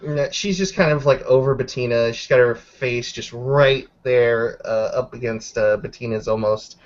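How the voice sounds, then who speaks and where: A man's voice: medium pitch, normal speed. A man improvising narration as game master of a tabletop roleplaying session. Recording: dull lo-fi audio.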